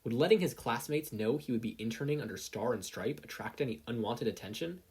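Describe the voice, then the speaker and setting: Lively story narrator. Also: medium pitch, a little fast, husky. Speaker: audiobook-style fan fiction reader. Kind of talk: expressive scripted narration.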